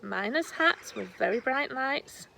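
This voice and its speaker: A woman reading a rhyming children's storybook aloud in a deliberate storytelling manner. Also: sits high, animated, creaky, pushed and bright, slight background noise, low-quality recording. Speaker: storybook reader. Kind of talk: scripted children's rhyme read aloud.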